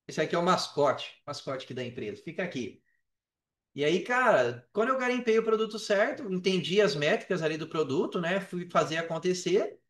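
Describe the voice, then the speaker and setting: A Brazilian man telling his story casually as a podcast guest, in an animated, slangy way. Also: high-pitched. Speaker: podcast guest, affiliate marketer. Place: indoors on an online call. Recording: roomy echo on a call.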